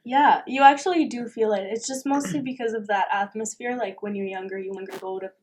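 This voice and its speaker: A woman's voice: fairly high pitch, steady tone, fairly quick, poor audio. A young woman from Romania, a university tennis player, talking casually as a podcast guest with frequent fillers and light laughs. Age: young adult. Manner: chatty, laughs often, many fillers. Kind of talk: casual interview.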